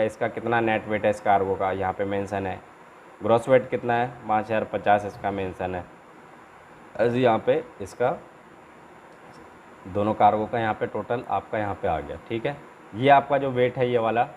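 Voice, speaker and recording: A man's voice: mid-range voice, darkish tone, poor audio. A man with an Indian accent giving an informal step-by-step tutorial as a trainer, repeating himself and often checking in with short tags. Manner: repetitive, with frequent check-in tags. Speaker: export-import trainer presenting a tutorial. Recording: noticeable background noise.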